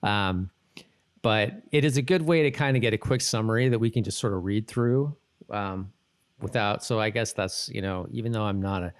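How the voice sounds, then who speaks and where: Man, low pitch, casual podcast host, halting, rambling, self-correcting delivery. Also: smooth.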